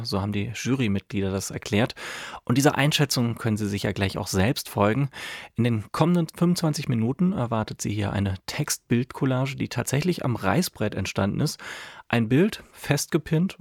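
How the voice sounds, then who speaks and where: Low-voiced radio presenter, dry studio sound. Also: male voice, dry recording. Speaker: radio presenter introducing a radio play. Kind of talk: scripted broadcast introduction.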